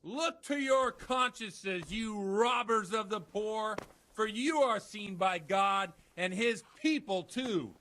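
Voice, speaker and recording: An actor playing a worldly, roguish village priest with a theatrical streak in a medieval video game. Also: male voice, high-pitched, slow pace, bright, forceful tone, a little hiss or hum, exaggerated voice. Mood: fiery preaching. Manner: conversational, theatrical when preaching. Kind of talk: dramatic video game dialogue.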